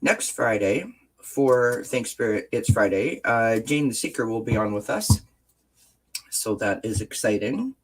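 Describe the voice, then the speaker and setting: A woman hosting a casual livestream from home with a stuffed-up nose, greeting viewers in the chat by name with pet names and often pausing on filler words. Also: very deep voice, animated, slight background noise, poor audio. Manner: frequent filler words and restarts. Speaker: livestream host. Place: indoors at home, lights off, sunny window behind.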